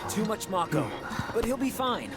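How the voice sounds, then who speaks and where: A man's voice: sits high, big pitch swings, normal speed. An actor playing a polite, weary young man in a video game scene. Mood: polite, hesitant. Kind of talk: video game cutscene acting. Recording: loud music over the voice.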